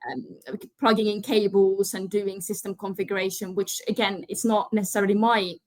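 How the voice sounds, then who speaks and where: A woman's voice: medium pitch, little pitch movement, moderate pace, no room sound. A young woman from Finland, a podcast guest talking in a casual, earnest way, often restarting her sentences. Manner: earnest, many restarts and "you know". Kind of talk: casual interview chat.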